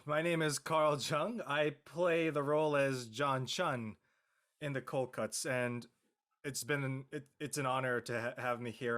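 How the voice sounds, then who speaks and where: A man giving a casual introduction as an actor on a group call, halting and repeating words. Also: medium pitch, fairly bright tone. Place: online group video call.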